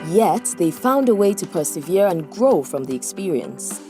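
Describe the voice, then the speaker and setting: A woman's voice: on the low side, sing-song, normal speed, warm tone. A woman reading scripted narration for a news feature. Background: music.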